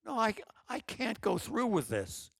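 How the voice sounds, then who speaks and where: A man's voice: sits high, wildly swooping pitch, measured pace, gravelly, fairly bright tone, a little hiss or hum, pitched above the performer's own voice, exaggerated voice. A man voicing a timid, frightened older woman who pleads anxiously. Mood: pleading.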